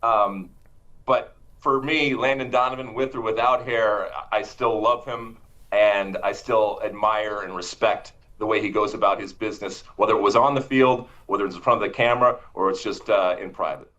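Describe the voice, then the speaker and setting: Bright, enthusiastic man rambling. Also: lowish voice, steady tone, moderate pace, muffled recording. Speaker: sports guest. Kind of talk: interview conversation.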